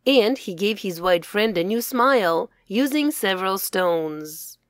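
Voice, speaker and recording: A woman's voice: mid-range voice, lively intonation, measured pace, no echo, studio quality. A woman reading scripted children's storybook narration as its author, enunciating carefully for English learners.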